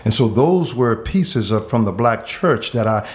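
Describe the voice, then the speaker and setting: A man who is a pastor from Miami, earnestly telling his life story in conversation, pausing often on fillers and repeated words. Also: mid-range voice, lively intonation. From Miami, Florida. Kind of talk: reflective personal storytelling in conversation.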